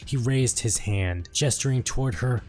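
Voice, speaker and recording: A man giving a solemn, portentous scripted narration of dark fantasy lore. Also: mid-range voice, normal speed. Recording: background music under the voice.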